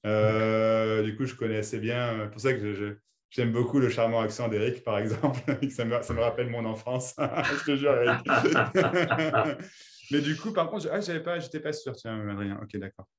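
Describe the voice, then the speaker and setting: A man's voice: mid-range voice, moderate pace. A man who grew up in Belgium, hosting a video-call meeting and chatting casually, rambling with many restarts. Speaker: meeting host.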